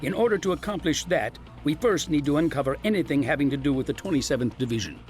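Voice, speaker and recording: A man's voice: a little high, expressive, quick pace, a little mellow, soft background music, natural voice. A man playing an authoritative special-ops chief in a video game, formal and resolute in his briefing. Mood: commanding. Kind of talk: English dub acting in a video game cutscene.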